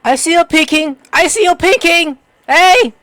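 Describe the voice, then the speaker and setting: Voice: very high voice, measured pace, sharp tone. A gaming video host reacting playfully over gameplay, teasing and exclaiming at the screen.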